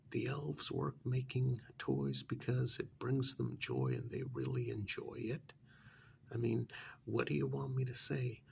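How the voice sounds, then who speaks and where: A man playing a weary, sheepish bearer of bad news in a soft, halting, near-whispered ASMR role-play. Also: medium pitch, gravelly, a little hiss or hum. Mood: defensive, resigned. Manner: hesitant, halting, rambling.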